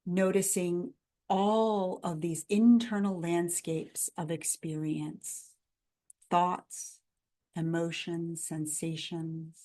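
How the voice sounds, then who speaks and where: A woman guiding a body scan meditation in a gentle, calm, instructional manner, in measured phrases with pauses between them. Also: fairly low pitch. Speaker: meditation guide.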